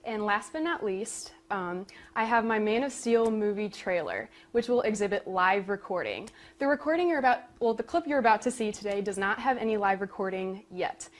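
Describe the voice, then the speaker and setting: A woman's voice: medium pitch, lively intonation, normal speed. A young adult woman giving a live spoken presentation of her student music project.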